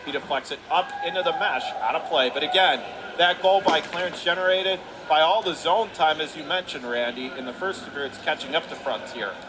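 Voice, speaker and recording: High-voiced American man calling hockey, noisy rink. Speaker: play-by-play commentator. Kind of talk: live radio hockey play-by-play. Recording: noisy arena ambience behind voice. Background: ice rink noise.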